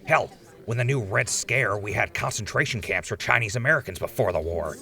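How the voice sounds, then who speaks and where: A man's voice: on the low side, brisk, vocal fry, bright, forceful tone. A man playing a defiant, outspoken ghoul defendant in a post-apocalyptic audio drama, arguing his case in an impassioned, rambling way. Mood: indignant.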